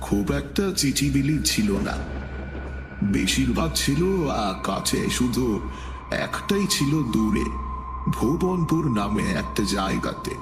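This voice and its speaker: A man's voice: mid-range voice, lively intonation, takes it slowly. A man narrating a scripted horror story in a reverberant recording. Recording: echoey, long reverb, music behind.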